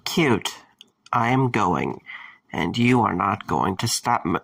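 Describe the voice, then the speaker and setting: A man playing a stubborn, wary but caring young man in a fan audio drama, with a husky, gravelly voice. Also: on the low side, lively intonation, slow pace, a bit bright, dry recording, full character voice. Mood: defiant.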